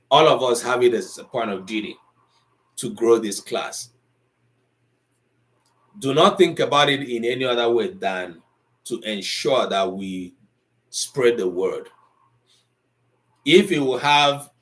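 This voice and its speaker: A man in middle age teaching a live online Igbo lesson. His voice sits at an ordinary pitch, and he talks in a lively, conversational way, lecturing his students and moving easily between English and Igbo. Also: rough recording. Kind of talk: live online language class.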